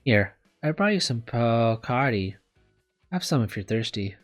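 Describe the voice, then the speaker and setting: A man voicing a caring, casual schoolgirl in a visual novel, breezy and gently bossy, with music behind. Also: mid-range voice, expressive, measured pace, natural voice. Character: caring, casual schoolgirl looking after her sick girlfriend. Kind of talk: visual novel read aloud. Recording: background music under the voice.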